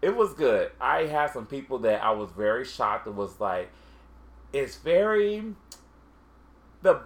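A man chatting casually, hesitant, with frequent pauses and restarts. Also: mid-range voice, sing-song. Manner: hesitant, lots of fillers and restarts. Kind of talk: casual chat.

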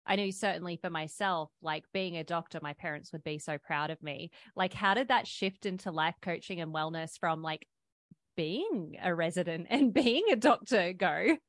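A woman's voice: lowish voice, normal speed, no room sound. A woman hosting a podcast interview, asking curious questions in a casual way and often saying "like". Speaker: podcast host, doctor.

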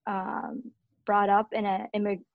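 A woman's voice: mid-range voice, flat delivery, measured pace, creaky, no echo, narrow, tinny audio. A young woman talking casually on a podcast, chatty, with frequent fillers. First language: Cantonese and English. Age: young adult.

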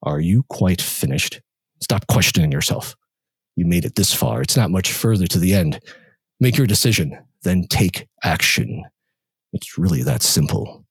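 A man voicing a sly, cold inner voice that talks slowly and calmly. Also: low-pitched, gravelly, slightly rough, no room sound, heavily acted. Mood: impatient. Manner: slow, calm, insinuating. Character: sly low male inner voice, coaxing and cold.

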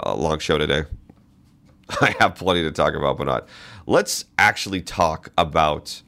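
A man's voice: low voice, a bit bright. A man hosting a podcast, giving political commentary in an emphatic way and repeating phrases for stress. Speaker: podcast host.

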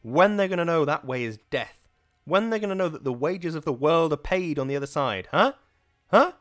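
A man's voice: lowish voice, animated, fairly quick, a little mellow, dry recording, studio quality. A man doing a bellowing young radio preacher. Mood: bellowing, fervent. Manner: bellowing, pumping cadence.

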